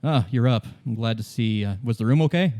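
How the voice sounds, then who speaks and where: A man voicing a hesitant, grateful hostel manager, earnest and halting. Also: medium pitch, mellow, dry recording, clean studio sound, lightly acted. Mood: grateful, awkward.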